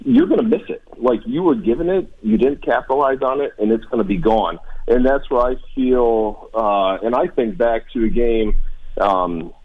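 Slightly husky American man, muffled radio sound. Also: mid-range voice, animated, normal speed. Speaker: guest, former college football player. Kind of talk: conversational sports reminiscing on a radio show.

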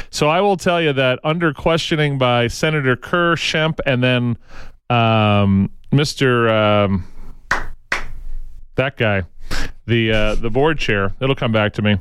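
A man's voice: mid-range voice, a bit bright, clean tone, no echo. A man hosting a local news and talk radio show, chatting casually and rambling with many fillers and restarts in a studio. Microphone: studio microphone.

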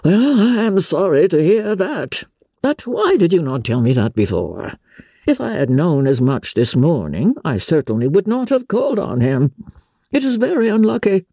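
A woman voicing a dry, unruffled middle-aged gentleman father in a classic novel audiobook, measured, deadpan and mock-solemn. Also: fairly low pitch, breathy edge, no room sound, voice pushed up, heavily acted. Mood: sly, composed.

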